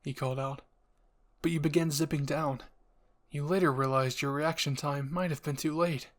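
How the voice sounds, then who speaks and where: A man's voice: a little high, fairly even intonation, moderate pace, a bit bright. A man reading scripted fan fiction as a narrator and channel host.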